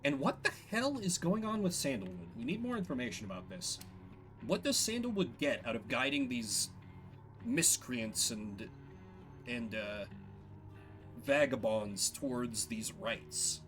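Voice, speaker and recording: A man's voice: mid-range voice, very animated, measured pace, a bit bright, soft background music. A man giving casual livestream commentary as he plays a game, musing and thinking aloud. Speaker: game streamer.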